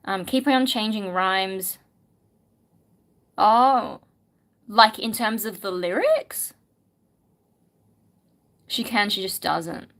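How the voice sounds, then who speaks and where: A woman talks through viewer questions on a casual livestream. Her voice is bright and forward, sitting in an ordinary middle range. She muses aloud with plenty of ums as she thinks. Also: medium pitch, animated, very slow, pauses often. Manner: chatty, musing, lots of ums, reads chat questions aloud. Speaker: singer and streamer answering chat.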